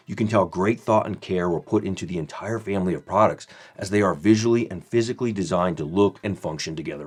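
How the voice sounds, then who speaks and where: A man in his thirties reading a scripted product review in a measured, formal way. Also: low voice.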